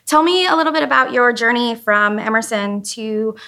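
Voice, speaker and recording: A woman's voice: on the high side, normal speed, creaky, bright, forceful tone. A woman hosting a podcast, introducing and conducting an interview.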